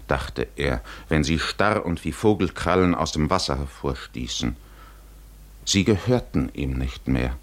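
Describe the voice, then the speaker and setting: Slow, deep-voiced male radio narrator. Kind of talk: 1950s literary radio drama narration.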